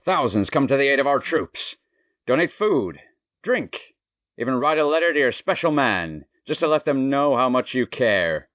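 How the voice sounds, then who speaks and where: A man's voice: mid-range voice, sing-song, moderate pace, dry recording, lightly acted. A man playing a zealous, patriotic wartime radio announcer with emphatic, theatrical old-time delivery. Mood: patriotic, scornful. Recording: dull, muffled radio-drama audio, faint music.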